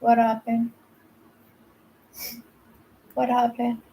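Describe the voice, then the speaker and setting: A woman with a high, light voice hosts a casual live stream. She talks in a chatty, easygoing way, greeting each viewer by name. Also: monotone, drawn-out words, lo-fi sound. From Philippines.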